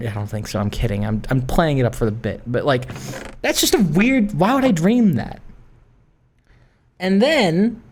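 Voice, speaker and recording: A man hosting a gaming video, rambling casually in a halting, self-conscious way with some swearing. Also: on the high side. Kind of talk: casual rambling chat while playing.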